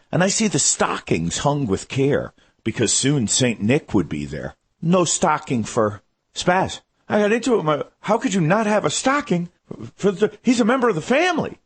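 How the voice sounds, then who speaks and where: A man's voice: mid-range voice, husky. A man hosting a radio segment, telling a comic story with a wry, exasperated delivery. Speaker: segment host.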